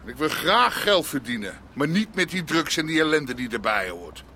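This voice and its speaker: An actor playing a stubborn, principled, ageing Amsterdam underworld boss in a radio drama, blunt and halting, with an Amsterdam accent. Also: male voice, a little high, big pitch swings, sharp tone, slight background noise. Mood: angry, defiant. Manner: blunt, halting, repeating words. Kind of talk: naturalistic radio drama dialogue.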